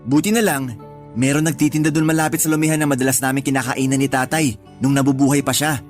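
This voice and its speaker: An actor playing a doting, put-upon young tricycle driver in a Tagalog radio drama, pleading and exasperated. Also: male voice, medium pitch, steady tone, quick pace, faint music behind, lightly acted. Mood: cheerful, doting.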